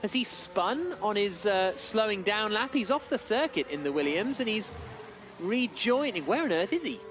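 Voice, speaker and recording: High-pitched male motorsport commentator. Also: expressive, moderate pace, background noise. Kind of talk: live race session commentary.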